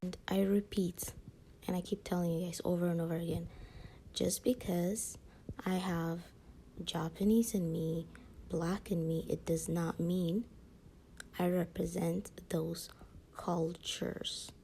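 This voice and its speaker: Low, creaky-voiced woman vlogger. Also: flat delivery, takes it slowly, mellow, slight background noise. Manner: rambling, peppered with slang and filler phrases. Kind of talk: casual chat to viewers.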